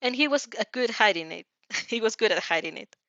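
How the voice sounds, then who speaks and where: A woman's voice: fairly high pitch, lively intonation, a little fast, fairly bright tone, dry recording. Dull, dry recording of a woman narrating. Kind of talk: expressive fan fiction read-aloud.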